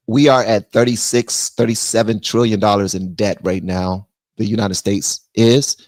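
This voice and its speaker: A man's voice: lowish voice, dry recording. Male stream host, blunt, slangy, dry close sound. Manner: animated, drops into mock voices. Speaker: livestream host. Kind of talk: political commentary chat.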